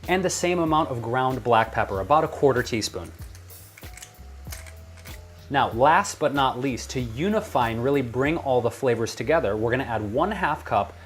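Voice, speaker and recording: A man's voice: mid-range voice, expressive, moderate pace, soft background music. An American man presenting a recipe as a cooking show host, chatty, playful and enthusiastic in his delivery. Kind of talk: upbeat recipe demonstration to camera. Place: kitchen.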